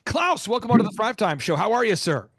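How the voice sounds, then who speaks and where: A man hosting a business podcast, introducing and questioning a guest in a loud, emphatic way. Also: sits high, big pitch swings, fast talker, husky, no room sound, studio quality. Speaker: host.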